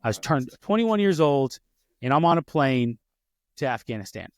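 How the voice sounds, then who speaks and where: A man in his thirties hosts a podcast in a casual, conversational way, drifting into personal stories and stopping to correct himself. His voice sits at an ordinary middle pitch. It is recorded close and dry, with no room sound. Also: very animated, normal speed, no room sound. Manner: storytelling, frequent restarts and self-corrections. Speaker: podcast host.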